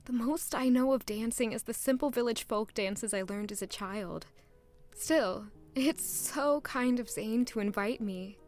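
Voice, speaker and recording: A woman playing a humble, lovelorn young castle maid who narrates her own story in an audio drama. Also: on the high side. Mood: surprised, wistful.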